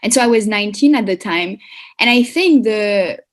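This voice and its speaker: A woman's voice: medium pitch, normal speed. A young woman in her twenties, originally from France, guesting on a podcast. She talks casually and chattily, looping through fillers and self-corrections as she goes. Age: 20s. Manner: relaxed and chatty, many fillers and restarts. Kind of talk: casual conversational interview.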